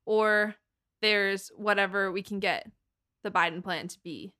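A woman's voice: medium pitch, fairly even intonation, unhurried, sharp tone. A young woman from Massachusetts with an American accent, chatting casually and informally as a podcast co-host. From Massachusetts, United States. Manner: conversational, informal, frequent "like". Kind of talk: casual political podcast chat.

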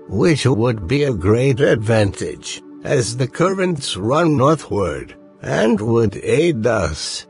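An actor playing a respectful, cautious but eager boat pilot in a dramatized audiobook, with a slow, deferential delivery. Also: male voice, mid-range voice, vocal fry, full character voice. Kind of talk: dramatized audiobook character acting. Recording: music behind the voice.

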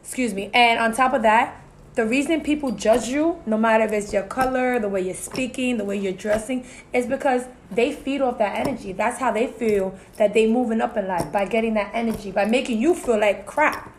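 A woman's voice: a little high, a little fast, slight background noise. A Puerto Rican-American woman co-hosting a casual discussion, talkative, full of "you know" and "so it's like". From Puerto Rican family, US-raised. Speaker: podcast co-host.

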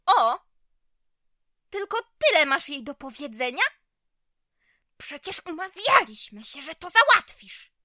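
A woman's voice: high-pitched, big pitch swings, slow pace, long pauses, sharp tone, no room sound, studio quality, exaggerated voice. An actress in an audio drama playing a spiteful, haughty woman who mocks and sneers. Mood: scornful, angry. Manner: mocking, sneering. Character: spiteful, haughty woman, the new live-in lover.

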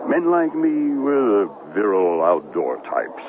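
Self-pitying rugged newsman, animated, tinny radio. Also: lowish voice, measured pace. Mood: boozy self-pity. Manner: theatrical, wounded, swinging between bluster and self-pity. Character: rugged, self-pitying, vain male TV foreign correspondent. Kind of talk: 1970s radio drama acting. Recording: thin band-limited 1970s radio broadcast, faint noise.

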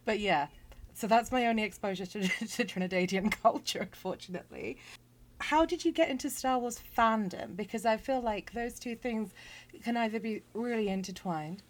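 A woman's voice: fairly high pitch, normal speed. A woman with a British accent hosting a casual chat, speaking in a wry, slightly hesitant way. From Britain. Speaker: podcast host, interviewer.

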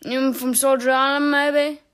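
Audiobook taxi driver, woman acting a man, soft Devon accent, polite, raised pitch. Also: little pitch movement, unhurried.

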